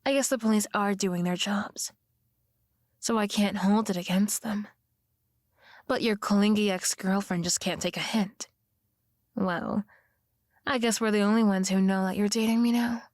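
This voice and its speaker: A woman acting a possessive, sweetly menacing yandere girlfriend in an intimate ASMR roleplay, with a breathy voice that often drops to a whisper and a coaxing, threatening manner. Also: mid-range voice, no room sound, studio quality, natural voice. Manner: slow, coaxing, softly threatening.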